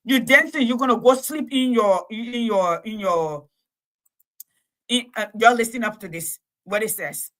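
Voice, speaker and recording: A woman hosting a call-in talk show, delivering an impassioned monologue with repeated phrases and drawn-out emphasis. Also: a little high, a bit bright. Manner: emphatic, repeats phrases, stretches key words. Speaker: host.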